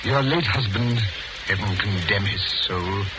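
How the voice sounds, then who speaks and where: A man plays a patient, reassuring gentleman husband in an old radio play. His voice is fairly low and measured, under heavy noise from the vintage recording. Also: close to an everyday voice. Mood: soothing, uneasy. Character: devoted, reassuring gray-haired husband, a businessman. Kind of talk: old radio drama acting.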